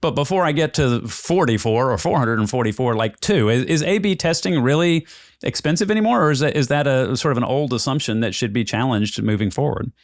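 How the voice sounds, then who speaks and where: A man's voice: medium pitch, animated, brisk, no echo, studio quality. A man hosting a casual interview, rambling and thinking aloud as he repeats words. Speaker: podcast host, interviewer.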